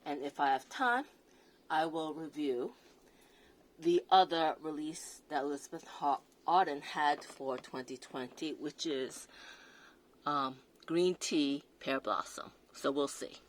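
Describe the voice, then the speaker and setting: A woman's voice: sits low, slow pace. A woman with a non-native accent reviewing perfume in a casual, hesitant way, full of fillers and restarts. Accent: non-native English accent. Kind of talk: casual product review vlog.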